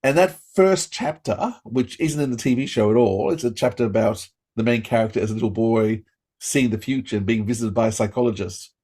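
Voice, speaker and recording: A middle-aged man hosts a casual interview in a mid-pitched voice. He talks with eager enthusiasm, often restarting and looping back as he goes. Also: a little fast, dark tone. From Australia. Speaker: podcast host.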